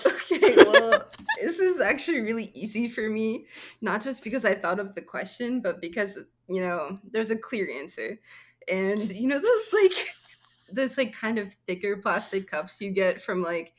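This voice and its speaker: A woman's voice: medium pitch, expressive, normal speed. A young woman co-hosts a podcast in casual chat, rambling playfully and giggling as she goes. Her voice sits in an ordinary middle register for a woman. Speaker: podcast co-host.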